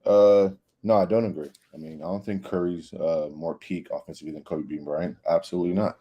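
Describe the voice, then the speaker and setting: A man's voice: low voice, steady tone, brisk, dark tone, breathy edge. Man firmly countering in sports debate.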